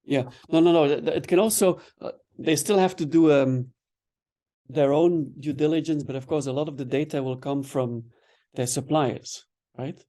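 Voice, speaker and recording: A man's voice: a little high, normal speed. A man giving an online lecture, explaining patiently and checking in with "right?" as he goes. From Belgium. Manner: relaxed, explanatory, frequent "right?" tags and repeated words. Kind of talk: online webinar lecture answering questions.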